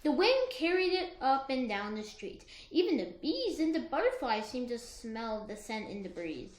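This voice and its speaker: A woman reads a story to children in a high, bright voice. Her delivery is expressive and swings up and down, and the room around her echoes. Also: normal speed. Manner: expressive, dramatized reading. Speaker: teacher co-reading aloud to a class. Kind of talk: read-aloud storytelling for children.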